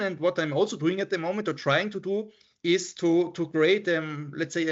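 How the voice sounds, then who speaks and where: Brief: middle-aged Austrian German-accented male professor, fairly high voice, halting online lecture, muffled call audio. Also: fairly bright tone. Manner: hesitant, repeats words, many "yeah" and "so" fillers. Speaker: professor giving a seminar talk. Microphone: computer mic on a video call.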